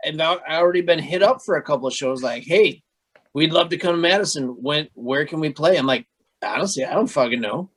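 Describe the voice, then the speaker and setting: A podcast host chatting casually, talking in a hesitant, halting way with fillers. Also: mid-range voice, lively intonation, slightly rough. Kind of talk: casual chat.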